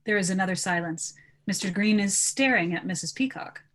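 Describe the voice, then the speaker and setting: A woman with a mid-pitched voice narrates a screenplay at a casual table read. She reads the stage directions as scripted narration. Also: fairly quick, a bit bright.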